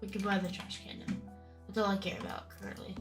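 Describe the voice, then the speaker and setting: A young woman streaming a game with casual, chatty commentary, her nose stuffy from a cold and her talk breaking into bubbly bursts of excitement. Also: mid-range voice, quick pace, faint music behind.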